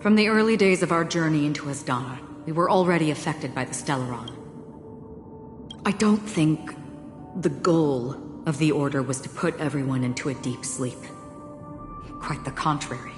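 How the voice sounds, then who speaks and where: An actress voicing a composed, serious young woman who calmly explains things in a game. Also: deep voice, normal speed, natural voice. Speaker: game character. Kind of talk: voiced game dialogue. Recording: roomy game audio with music.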